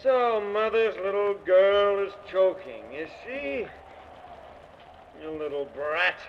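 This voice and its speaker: An actor playing a mocking, cackling, murderous ghost in an old-time radio horror drama. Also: male voice, sits high, expressive. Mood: cruel gloating. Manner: slow, drawn-out, mocking, cackling. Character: taunting murderous ghost luring a man upstairs, gleefully cruel. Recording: muffled, noisy old radio drama recording.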